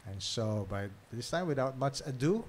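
A middle-aged man with a Filipino accent speaking informally as a pastor, pausing often and punctuating with amens. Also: medium pitch, expressive, slight background noise. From Philippines. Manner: halting, with repeated amens. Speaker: pastor introducing guest speaker. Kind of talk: informal church address. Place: small church hall, at pulpit. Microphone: pulpit microphone.

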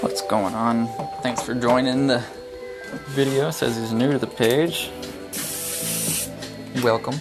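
A young man casually explaining airbrush technique while painting in a small brick-walled studio, with relaxed ums along the way. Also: mid-range voice, slow, pauses often. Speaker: airbrush artist. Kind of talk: casual live-stream tutorial while painting. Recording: music playing under the voice. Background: music playing, airbrush hiss.

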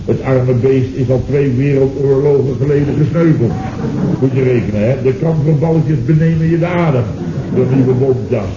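A man's voice: mid-range voice, moderate pace, natural voice. A dull, noisy old radio comedy recording with a roomy echo. An actor plays an indignant Dutch man, flustered and stammering as he blusters through the story of his misfortune. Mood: indignant storytelling. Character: flustered, indignant man recounting his own disastrous errand.

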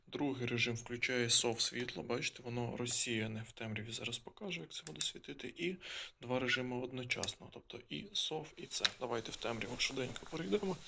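A man's voice: medium pitch, vocal fry, background noise. A man giving a casual, unscripted product demonstration, explaining and thinking aloud as he goes. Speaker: gadget reviewer. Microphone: phone filming the demonstration.

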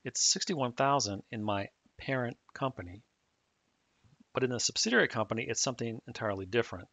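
A man calmly explaining software setup step by step in a screen-recorded tutorial. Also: medium pitch, fairly quick, gravelly, no room sound. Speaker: software trainer. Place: at a computer, voice-over on a screen recording. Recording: dull dry mic audio.

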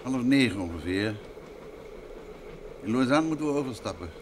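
An actor playing a calm, attentive middle-aged husband and gentleman detective in an old Dutch radio play. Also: normal speed, lightly acted. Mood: tired, patient. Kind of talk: mid-20th-century Dutch radio play acting. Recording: old radio recording, clear train rumble noise.

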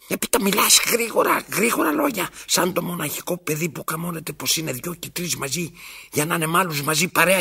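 An actor playing a domineering, needy old master in a theatrical radio drama, with a rasping voice and halting, repetitive delivery. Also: male voice, a little high, sharp tone, full character voice. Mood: agitated. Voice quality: rasping, gravelly. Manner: halting, repeats words, dramatic pauses.

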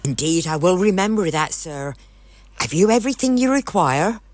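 An actress playing an older, superstitious country housekeeper in an audio drama, homely and deferential, with an English accent. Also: female voice, on the low side, animated, moderate pace, a little mellow, no echo, natural voice. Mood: dutiful.

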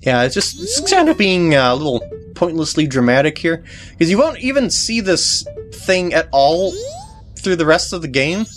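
A walkthrough host talks through a video game in a casual, rambling way. The pitch moves around in a lively fashion, with mock exasperation in the delivery and music playing behind. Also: moderate pace. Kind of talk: casual gameplay commentary.

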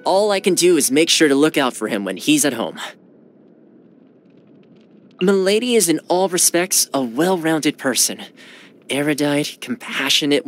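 A young man playing a friendly, earnest housekeeper retainer in a video game, eager, courteous and expressive. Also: on the high side, lightly acted. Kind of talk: video game character voice lines. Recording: studio voice over background music. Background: game menu music.

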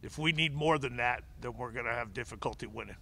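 A middle-aged coach answers reporters at a table microphone in a press room, with a gravelly edge and a bright, forceful tone. He talks in a measured way, often restarting and doubling words, over faint background noise. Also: medium pitch. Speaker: head baseball coach. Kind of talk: postgame press conference answers. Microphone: table mic in front of him.

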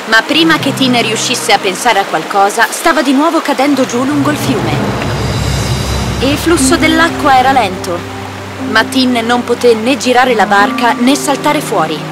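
A woman's voice: on the high side, animated, moderate pace. A woman reading a scripted children's fairy tale as its narrator. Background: loud background music.

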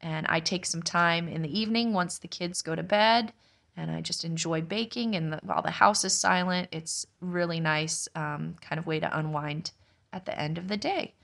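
Homey mom narrating her kitchen, low voice. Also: normal speed, vocal fry. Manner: relaxed, explanatory, chatty with light laughs. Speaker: homestead vlogger, mother. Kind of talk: casual cooking vlog voice-over.

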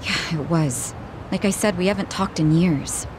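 A woman's voice: fairly low pitch, normal speed, background noise, natural voice. An actress playing a worried, earnest young woman in a dramatic video game. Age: young adult. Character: worried young hostess, guarded, earnest twin sister.

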